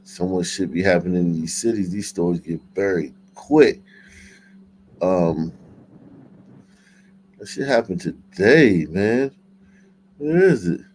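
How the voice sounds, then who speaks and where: Low, mellow-voiced man, blunt and profane. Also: animated, slow pace, pauses often. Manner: blunt, profane, street slang. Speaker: show host. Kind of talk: casual livestream news commentary. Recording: noticeable background noise.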